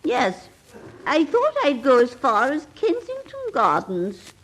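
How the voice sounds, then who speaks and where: An ancient, eccentric aunt from a vintage radio comedy. She speaks very slowly in a high, husky, wavering voice, witchy and vague. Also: huge pitch swings, a bit bright, heavily acted. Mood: dotty. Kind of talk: 1950s radio comedy acting. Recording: 1950s radio play recording.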